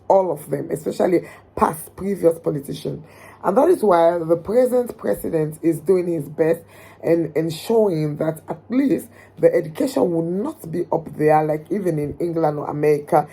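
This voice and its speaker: A woman from Sierra Leone with a hoarse voice, speaking earnestly and emphatically about politics, with frequent ums. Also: lowish voice, warm tone, low-quality recording. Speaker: political commentator. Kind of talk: direct-to-camera opinion talk.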